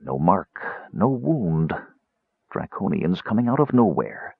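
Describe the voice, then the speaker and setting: A man's voice: deep voice, very animated, slow, vocal fry, husky, no room sound, phone-quality sound, full character voice. A man voicing a bitter, scornful male mage with a snarling, cutting delivery. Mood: intent.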